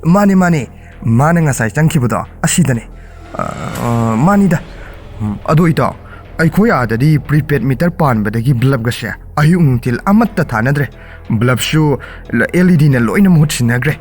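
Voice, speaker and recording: A man acting as a deferential young male stranger in a radio play, halting yet rattling words out very fast. Music plays behind his mid-pitched voice. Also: animated, darkish tone, close to an everyday voice. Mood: puzzled. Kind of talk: audio drama acting.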